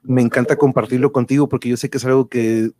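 A man's voice: mid-range voice, fairly even intonation, quick pace, darkish tone, dry recording. A man with a Mexican accent hosting a casual family livestream, chatty and affectionate in a familiar way. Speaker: host of a live online show.

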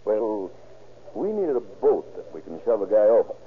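An American actor playing a shady, persuasive swindler in a vintage radio crime drama, coaxing and evasive. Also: male voice, fairly low pitch, sing-song, normal speed. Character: shady, persuasive male swindler pitching a crooked scheme. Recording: scratchy, tinny old radio recording.

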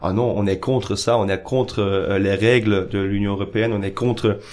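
A man's voice: on the low side, steady tone, moderate pace, darkish tone. A young German-speaking Belgian man, a podcast guest, speaking French hesitantly and often searching for words. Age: young adult. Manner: hesitant, many fillers and restarts, searches for words. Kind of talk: political podcast discussion.

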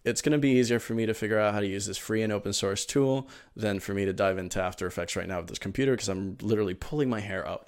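A man from Venezuela, in his thirties, chatting eagerly as an interview guest, rambling with lots of fillers and restarts. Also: lowish voice. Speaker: podcast guest. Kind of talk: casual interview storytelling.

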